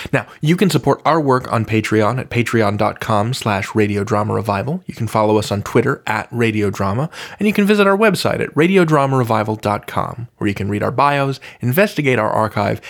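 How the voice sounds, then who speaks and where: A man's voice: mid-range voice, creaky. A man reading scripted narration as a podcast host.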